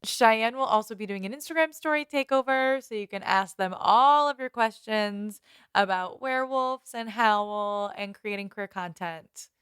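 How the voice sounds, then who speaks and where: A woman co-hosts a casual podcast. Her voice is clean and resonant at a middling pitch. The sound is dry and close, with her talk kept conversational. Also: unhurried, a bit bright, clean tone, no echo. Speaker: podcast co-host. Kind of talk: casual podcast chat and sign-off.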